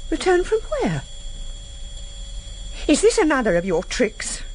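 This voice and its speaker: An actress playing a shocked, defiant wife in a radio drama, challenging and disbelieving. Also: female voice, high voice, huge pitch swings, measured pace, darkish tone, exaggerated voice. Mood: defiant disbelief. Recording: vintage radio recording, faint background noise.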